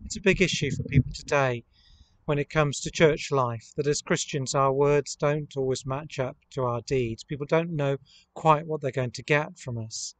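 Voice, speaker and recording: Priest preaching outdoors, slightly high voice. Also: male voice, moderate pace. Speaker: priest leading online worship. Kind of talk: reflective sermon to camera. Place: outdoors in a rural churchyard. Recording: outdoor camera recording with ambient noise.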